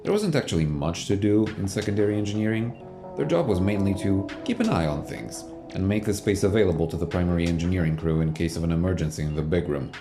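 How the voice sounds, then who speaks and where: A man's voice: sits low, quick pace. A man giving scripted, storytelling narration for an audio drama. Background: music.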